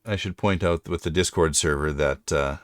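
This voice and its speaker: A middle-aged man with a deep voice, hosting a casual livestream chat and rambling through his thoughts with frequent restarts. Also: normal speed, no room sound, studio quality. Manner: rambling, self-correcting. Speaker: livestream host.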